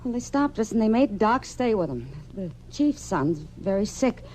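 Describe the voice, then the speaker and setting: A woman's voice: medium pitch, sing-song, moderate pace, warm tone, close to an everyday voice. An actress playing an earnest, worried American frontier woman in an old-time radio western. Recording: noisy old radio drama recording.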